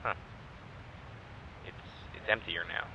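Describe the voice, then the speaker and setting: A man acting a wry, hesitant test subject in an audio drama, speaking haltingly and naturally. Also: natural voice. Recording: dull lo-fi microphone, faint noise.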